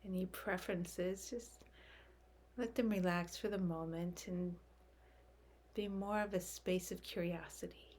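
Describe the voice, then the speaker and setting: Slow, lower-pitched woman guiding meditation. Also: slight background noise. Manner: gentle, unhurried, calming, with long pauses. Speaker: guided meditation teacher. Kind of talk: guided meditation.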